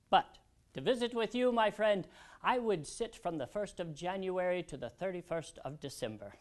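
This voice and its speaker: An actor playing an elderly American statesman in a theatrical historical monologue, proud and wistful, with deliberate, old-fashioned diction and reflective pauses. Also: high voice. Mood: courteous, sociable.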